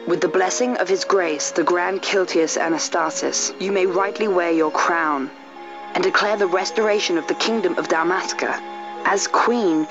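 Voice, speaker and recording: A young male actor voicing an earnest, courtly boy prince in a fantasy game, with formal, polite phrasing. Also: sits high. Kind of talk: dramatic game voice acting. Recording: dull lo-fi game audio over music.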